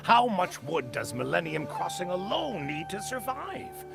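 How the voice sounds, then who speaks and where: A bitter, hard-nosed game character, with a fairly high male voice and gravelly creak. He is scornful and theatrical, swinging widely in pitch as he challenges his leader, with music clearly behind him. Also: very animated, a little slow, full character voice. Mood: pressing, exasperated. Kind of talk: dramatic video game voice acting.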